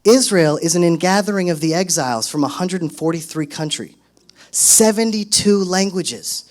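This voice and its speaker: Brief: high-pitched man, 30s, lively storyteller at a podium, punches up quoted lines. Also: normal speed. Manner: animated, acts out quotes in raised voice. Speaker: speaker at a solidarity event. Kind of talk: storytelling speech to an audience.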